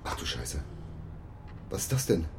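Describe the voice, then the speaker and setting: An actor playing a nervous, defensive call centre worker, hesitant and colloquial, in a German radio crime drama. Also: male voice, a bass voice, very animated, measured pace, a little mellow, slight background noise, heavily acted. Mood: shocked, subdued.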